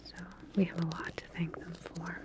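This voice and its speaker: A woman doing an ASMR roleplay as a lab researcher, explaining things softly and hesitantly in a reassuring clinical manner. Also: lowish voice, monotone, normal speed. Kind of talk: whispered ASMR medical roleplay.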